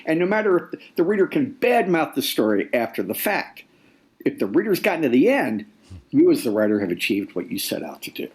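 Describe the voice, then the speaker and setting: A man speaking as an author guest in a conversational interview, explaining deliberately and repeating phrases for weight. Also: on the high side, very animated. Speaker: nonfiction author, podcast guest.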